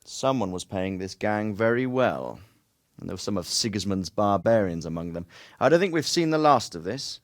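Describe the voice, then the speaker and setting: A man playing a middle-aged medieval Bohemian lord in a video game, formal, authoritative and fatherly, speaking in measured phrases. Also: fairly low pitch, lively intonation. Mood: grave, reassuring. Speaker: nobleman and military leader. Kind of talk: video game dramatic dialogue.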